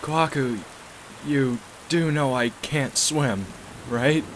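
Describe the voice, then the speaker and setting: A man's voice: medium pitch, slow pace, lightly acted. A man playing a brash, hot-headed young big brother in an anime game fan dub, blunt and cocky. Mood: brash, agitated. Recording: noisy amateur fan dub recording.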